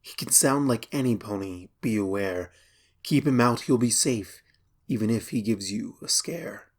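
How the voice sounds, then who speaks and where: A man playing a mysterious friend who gives slow, measured, ominous warnings in a husky voice for a horror story. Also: on the low side.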